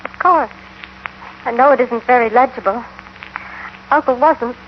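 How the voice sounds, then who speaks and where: A woman's voice: fairly high pitch, a little slow, lightly acted. A woman acting an earnest, worried young ranch niece in a 1950s radio Western. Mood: earnest, troubled. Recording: dull, noisy old radio recording.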